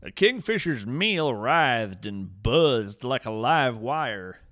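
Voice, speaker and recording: A man's voice: medium pitch, sing-song, slow pace, fairly bright tone, dry recording, studio quality. A man playing an earnest, folksy naturalist who narrates scripted fiction podcast tales in a dramatic, wryly whimsical, literary way. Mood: awed, dramatic.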